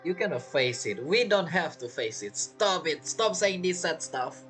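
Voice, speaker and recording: A man's voice: on the high side, sing-song, unhurried, a bit bright, soft background music. A man giving casual, informal gameplay commentary, emotionally involved in the story as he plays. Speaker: streamer playing a game.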